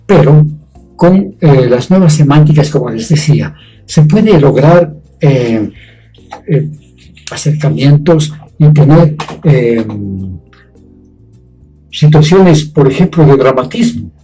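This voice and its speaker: A man giving an unscripted explanation, speaking haltingly with long hesitations. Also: on the high side, animated, mellow, soft background music, poor audio. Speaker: comics scriptwriter giving testimony.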